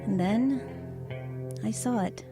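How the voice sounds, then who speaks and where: An actress playing a wry, low-key woman driver who tells of eerie happenings in a conversational, hesitant way in an audio drama. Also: slow pace, over music. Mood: reflective. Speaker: lead actress and narrator. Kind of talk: audio drama narration and dialogue.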